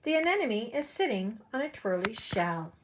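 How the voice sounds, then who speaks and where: Female preschool storyteller, fairly high voice, expressive and direct, speaking simply to little kids. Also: moderate pace, noisy background. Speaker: preschool teacher reading a storybook. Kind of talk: read-aloud storytelling for young children.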